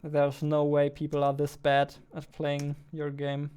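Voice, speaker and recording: A man, chatting casually on a livestream while he works, thinking aloud in a halting way. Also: fairly high pitch, completely flat delivery, darkish tone, a little hiss or hum. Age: 20s. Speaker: game developer and streamer. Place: indoor room at a computer, keyboard clicks behind.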